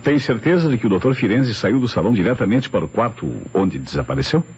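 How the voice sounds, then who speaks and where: A man with a Brazilian accent playing a brisk, methodical police inspector in an old radio drama, questioning formally, on a muffled, noisy recording. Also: medium pitch, animated, a little mellow, close to an everyday voice. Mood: probing.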